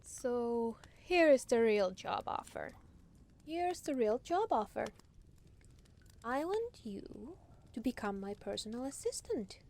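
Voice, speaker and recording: A woman's voice: a little high, big pitch swings, takes it slowly, a little mellow, a little hiss or hum, heavily acted. A woman playing a proud, teasing demon lord in a roleplay ASMR monologue, casually regal and playfully menacing. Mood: frank, pleased.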